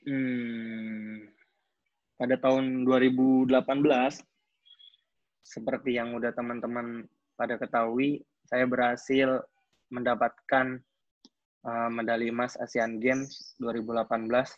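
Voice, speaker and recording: Man, 20s, athlete at a coaching clinic, hesitant delivery, flat pitch, dry lo-fi recording. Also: unhurried, pauses often, no echo. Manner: hesitant, with frequent fillers and restarts. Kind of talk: casual personal account.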